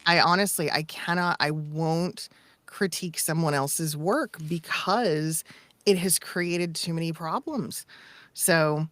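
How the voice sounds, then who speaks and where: A woman's voice: low voice, slow pace, fairly bright tone, dry recording. A middle-aged woman talking candidly and emphatically as a coach in casual podcast conversation, repeating phrases for effect. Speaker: voice acting coach and demo producer.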